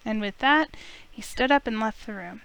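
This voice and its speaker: A woman reading a visual novel aloud as an expressive first-person story, with music underneath. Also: fairly high pitch, moderate pace, vocal fry, clean tone, low-quality recording.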